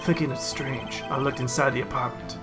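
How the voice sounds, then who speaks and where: A man voicing a shady, serious newspaper seller giving deliberate testimony in a video game. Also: mid-range voice, steady tone, over music, close to an everyday voice.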